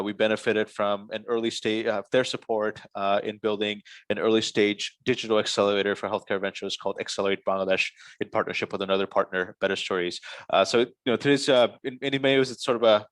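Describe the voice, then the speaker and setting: A man's voice: lowish voice, fairly bright tone, no room sound. A man moderating an interview, introducing the guest and asking questions with many uhs and you-knows. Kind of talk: online panel moderation.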